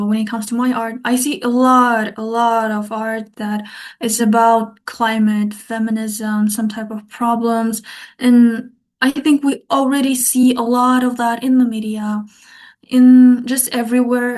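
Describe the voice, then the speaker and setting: A woman's voice: fairly high pitch, level tone, a little slow, a little mellow. A young woman artist from Kazakhstan, talking reflectively as a podcast guest. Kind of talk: podcast interview.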